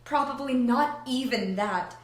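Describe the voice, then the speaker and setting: A woman's voice: sits high, a little slow. A woman playing an earnest, lovestruck young princess in a radio play, speaking with pleading emotion. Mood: hurt, hopeful. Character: earnest, hopeful young princess in forbidden love. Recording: echoey room with faint background noise.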